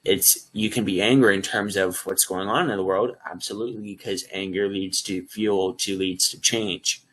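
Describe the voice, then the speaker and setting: A man's voice: deep voice, steady tone, moderate pace, a bit bright, husky, low-quality recording. A young man speaking as a guest over a video call, talking earnestly and at length about offering life coaching. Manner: earnest and rambling, with restarts. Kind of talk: earnest promotional talk.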